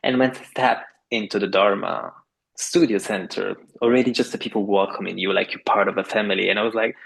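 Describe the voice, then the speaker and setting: Gravelly Italian man, enthusiastic. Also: fairly low pitch, steady tone, a little fast, a bit bright, rough recording. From Italy. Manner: enthusiastic, acts out other people's voices. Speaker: yoga teacher guest. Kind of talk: casual interview conversation. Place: home in a French village at night.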